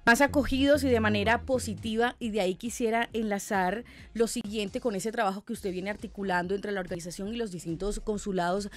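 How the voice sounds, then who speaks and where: A woman's voice: medium pitch, fairly even intonation, a little fast, hardly any pauses. A woman host interviewing a guest, asking long, formally framed questions into a studio microphone.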